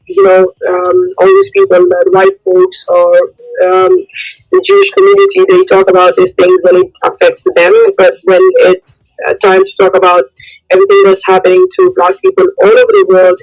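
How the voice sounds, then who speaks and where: A woman from Somalia giving her opinion as a caller, with halting, hesitant phrasing. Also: very high-pitched, big pitch swings, creaky, a little mellow. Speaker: caller in a live audio discussion. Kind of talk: impromptu spoken opinion. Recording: dull lo-fi radio-like call audio.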